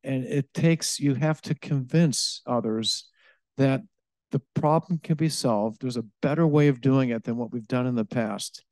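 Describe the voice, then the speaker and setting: A man who directs innovation at a utility, answering audience questions at a live event, talking haltingly with many fillers, restarts and a habitual "okay?". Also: mid-range voice, a little mellow, no echo. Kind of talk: live presentation Q&A.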